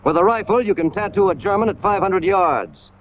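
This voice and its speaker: A man's voice: sits high, a little fast. An American man delivering scripted 1940s military training-film narration in an emphatic, hard-hitting manner with clipped phrases. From United States. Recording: scratchy, tinny 1940s film soundtrack, loud noise.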